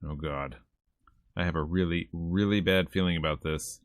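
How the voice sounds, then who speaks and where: A man's voice: sits low, fairly even intonation, moderate pace, no echo, clean studio sound. A man streaming a visual novel, reading the narration casually and adding wry, informal asides. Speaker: gaming streamer.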